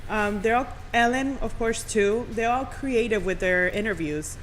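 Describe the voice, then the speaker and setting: A woman's voice: fairly high pitch, normal speed, low-quality recording. A woman talking casually as a podcast guest, in an informal way, often saying "like" and "you know". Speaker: radio personality, podcast guest. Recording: clear background noise.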